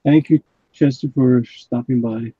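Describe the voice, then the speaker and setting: A man hosting the stream who talks softly and modestly. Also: mid-range voice, steady tone, unhurried, slightly rough, no room sound, lo-fi sound. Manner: soft-spoken, self-effacing. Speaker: stream host.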